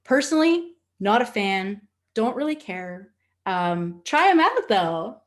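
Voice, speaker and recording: Female webinar instructor, Nova Scotian Canadian accent, mid-pitched voice; animated, informal, candid delivery with a joking streak. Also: slow pace. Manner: animated, candid, chatty, jokey. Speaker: social media instructor. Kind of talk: informal webinar teaching with casual asides.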